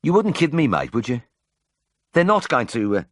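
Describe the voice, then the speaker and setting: Man putting on an anxious young Birmingham lad, pitched up. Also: big pitch swings, fairly quick, no room sound, studio quality. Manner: blunt, earnest, confiding. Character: naive anxious young working-class lad, a hospital patient. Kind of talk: audiobook character voice.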